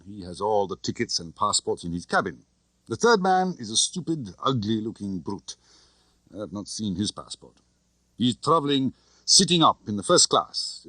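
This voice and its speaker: A man's voice: fairly low pitch, sharp tone, dry recording, heavily acted. A man voicing a tough, cheerful, confident male spy chief in an audiobook, with expansive, theatrical phrasing.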